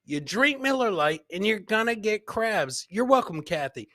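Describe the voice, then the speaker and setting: Bright, high-pitched man riffing on a podcast. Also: big pitch swings, normal speed, no echo, studio quality. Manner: rambling, jokey, repeats catchphrases. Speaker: host of a live comedy podcast. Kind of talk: casual live reaction commentary on funny clips.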